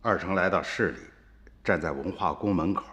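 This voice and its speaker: A man's voice: sits low, brisk, long reverb tail. An actor playing a watchful father who recounts his memories as dramatic radio drama narration. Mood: reflective. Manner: storytelling, expressive.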